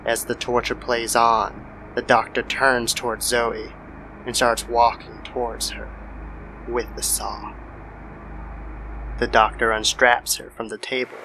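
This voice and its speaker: A man's voice: mid-range voice, sharp tone, rough recording. A man narrating a scripted horror story as the storyteller of an audio drama. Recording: clear background noise under the voice.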